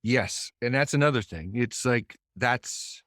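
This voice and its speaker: A man's voice: mid-range voice, measured pace, a bit bright, no room sound, studio quality. A man from Boston, a musician, talking in a thoughtful, meandering way with many restarts as a guest over a video call. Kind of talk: casual interview chat.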